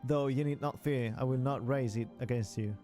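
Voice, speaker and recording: A man reading as a calm, guarded warrior bug woman. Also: mid-range voice, moderate pace, soft background music, lightly acted. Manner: plain reading aloud, stumbling slightly.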